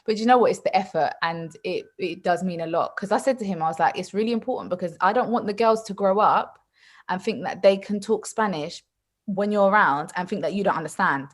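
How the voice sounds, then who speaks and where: Chatty mother in relaxed talk. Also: medium pitch, brisk. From England. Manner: animated, chatty, full of asides and restarts. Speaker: mother and podcast guest. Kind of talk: casual conversational chat.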